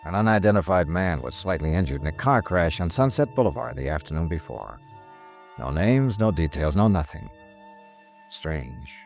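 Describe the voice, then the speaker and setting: An actor playing a world-weary insurance investigator in a 1950s radio detective drama, grim and clipped with brooding pauses. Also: male voice, low-pitched, sing-song, a little mellow. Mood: dry narration. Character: world-weary male insurance investigator, grimly narrating his case. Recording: vintage radio drama with music underneath.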